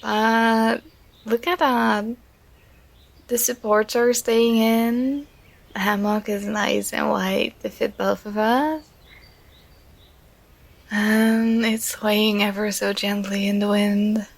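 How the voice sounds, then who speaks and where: A woman playing an affectionate, contented girlfriend in an ASMR roleplay, speaking in a tender, soothing, intimate and unhurried manner. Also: medium pitch, flat delivery, creaky. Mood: happy, affectionate.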